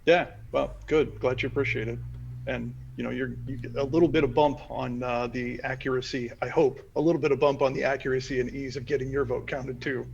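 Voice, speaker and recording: A man's voice: medium pitch, fairly even intonation, a little fast, vocal fry. A man chairing an online meeting, taking questions and passing the floor along. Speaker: meeting facilitator. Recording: dull, slightly noisy call audio.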